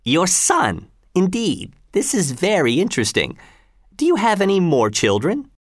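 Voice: mid-range voice, huge pitch swings, measured pace, no room sound, clean studio sound, pitched above the performer's own voice, heavily acted. A narrator voicing a cruel male tyrant governor in an audiobook, mocking, commanding and falsely reasonable.

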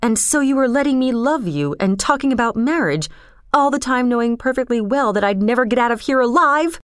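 A woman's voice: mid-range voice, animated, moderate pace, darkish tone, dry recording, studio quality, natural voice. A woman storyteller voicing an earnest young man, a shocked suitor who speaks with incredulous indignation. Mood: angry.

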